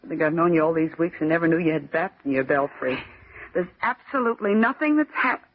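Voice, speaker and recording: A woman's voice: low voice, expressive, fast, slight background noise. An actress playing a brash, skeptical young office woman with an American accent, snappy and insistent as she hides her fear, in old-time radio horror style. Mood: scoffing impatience. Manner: snappy, insistent, scoffing, repeats herself. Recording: muffled, narrow-band vintage radio recording.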